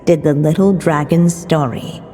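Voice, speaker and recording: A woman voicing a gentle, solemn, motherly storybook figure in a video game, with measured poetic delivery. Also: low voice, soft background music. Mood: measured, storytelling. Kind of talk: slow scripted storybook narration in a video game.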